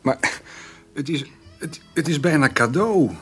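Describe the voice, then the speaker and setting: An actor playing a hesitant, stubborn farmer in a radio play, halting and doubtful. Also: male voice, on the high side, expressive, fairly bright tone. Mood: softened. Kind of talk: naturalistic radio play dialogue.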